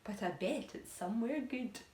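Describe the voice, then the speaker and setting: A woman's voice: fairly high pitch, animated, moderate pace, a little mellow, natural voice. A woman voicing a kindly, cheerful old male grasshopper from a storybook, in a reassuring manner. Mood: hopeful. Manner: encouraging, reassuring. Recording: echoey room.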